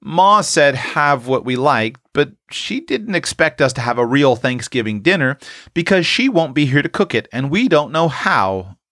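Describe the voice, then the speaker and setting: A man's voice: a little high, animated, normal speed, few pauses, a bit bright, dry recording, clean studio sound, voice pushed up. A man voicing a patient, unsure young farm girl from a storybook, with rustic old-time speech. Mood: doubtful.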